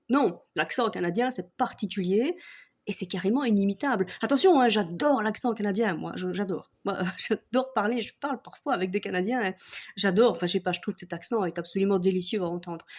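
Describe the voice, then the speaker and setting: Belgian french accent, woman, mid-pitched voice, casual comic rant, swears freely, mocking tone, frequent laughs. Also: a little fast, darkish tone, slightly rough. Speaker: vlogger.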